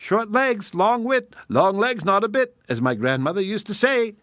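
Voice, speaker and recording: Man putting on a shrill, fussy hedgehog wife. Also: animated, moderate pace, a bit bright, no room sound, studio quality. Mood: gleeful. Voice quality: high, shrill, scolding.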